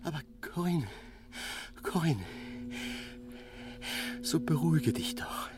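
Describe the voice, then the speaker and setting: Hushed, distraught, pleading man. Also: fairly high pitch, expressive, slow, background noise. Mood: soothing, hushed. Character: distraught, confused man pleading illness after attacking a woman. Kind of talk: radio drama acting. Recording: music behind.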